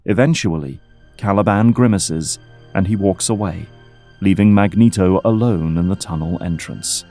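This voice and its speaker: A man narrates an audio drama in a low, dark-toned voice. His delivery is scripted storytelling, set over quiet music. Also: moderate pace. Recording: music under the voice.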